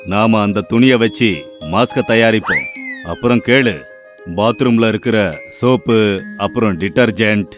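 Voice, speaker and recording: A man voicing a greedy shop owner who gives curt orders in an animated moral story. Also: fairly low pitch, a little slow, pauses often, music in the background, lightly acted. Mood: scheming. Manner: curt, commanding. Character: greedy, bossy, unscrupulous male shop owner.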